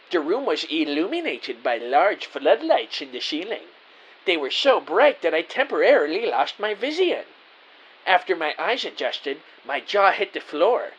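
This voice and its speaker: Audio drama lead: man acting a naive, excitable detective narrator, theatrical and earnest, husky mid-range voice with big pitch swings. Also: lo-fi sound, exaggerated voice. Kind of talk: first-person scripted audio drama narration.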